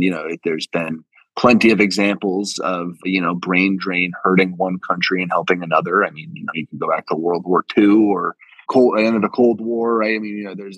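A man's voice: low voice, a bit bright, no room sound, poor audio. A man hosting a conversational interview, wordy and informal, often checking for agreement. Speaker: podcast host.

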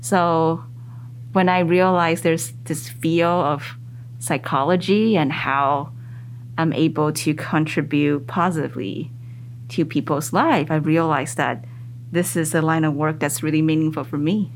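A woman psychologist whose first language is Mandarin, talking in a casual interview with thoughtful, lively rises in her voice. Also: low voice, unhurried, a little hiss or hum. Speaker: psychologist guest.

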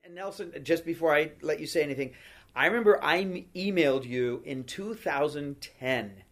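A man's voice: on the high side, moderate pace. A man hosting a podcast interview, speaking emphatically and giving listeners direct advice. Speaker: podcast host.

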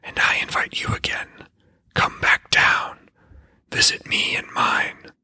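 A man voicing a taunting, cryptic spirit that speaks from a well in an audiobook, in an eerie hushed whisper. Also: slow, dry recording. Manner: mocking, cryptic, patient. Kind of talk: audiobook character voice.

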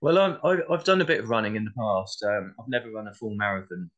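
A man with a southern English accent and a slightly low voice speaks as a guest in a casual discussion. He is hesitant, often repeating a word as he starts a thought. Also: fast talker, fairly bright tone, lo-fi sound. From Essex, England. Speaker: podcast guest.

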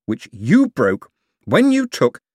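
A man voicing a wry, exasperated, fast-thinking man who argues sardonically in an audiobook story. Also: medium pitch, wildly swooping pitch, a little mellow, no room sound, voice pushed up, exaggerated voice. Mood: exasperated, outraged. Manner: sardonic, argumentative.

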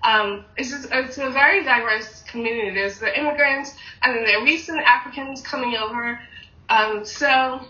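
A woman's voice: fairly high pitch, normal speed, bright, forceful tone, roomy sound, distant mic, highs cut off. A woman being interviewed, telling personal stories in a conversational way. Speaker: interviewee, teacher.

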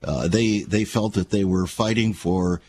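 A man's voice: sits low, fairly even intonation, a little slow, warm tone, slight background noise. A man hosting a talk show, delivering a rhetorical, emphatic monologue full of repeated questions. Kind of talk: opinion show monologue and interview.

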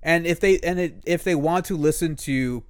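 A young man co-hosting a casual podcast, laying out his views in a measured, argumentative way with some swearing. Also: on the high side, clean tone, no echo, studio quality. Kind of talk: casual chat.

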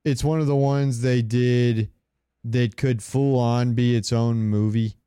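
A man hosting a podcast, giving casual fan commentary in a smooth, clear voice. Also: medium pitch, steady tone, slow pace, warm tone, no echo, studio quality. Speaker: podcast host.

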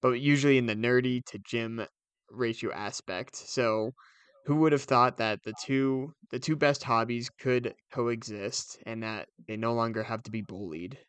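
A man's voice: medium pitch, fairly even intonation, moderate pace, a bit bright, smooth, no echo. A young man co-hosting a podcast in casual, conversational chat. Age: young adult. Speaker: podcast co-host.

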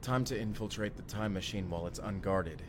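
A man's voice: fairly low pitch, a little fast, background noise, natural voice. A man playing an urgent, determined time traveler in an audio roleplay drama, earnest and intense. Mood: urgent, planning. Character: urgent, determined male time traveler, protective of his partner.

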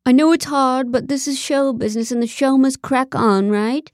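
Audiobook role: pompous male director, played by a woman, fake cockney British accent, patronising and breezy, pitched up, dry sound. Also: moderate pace, a little mellow, dry recording, natural voice. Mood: breezily consoling.

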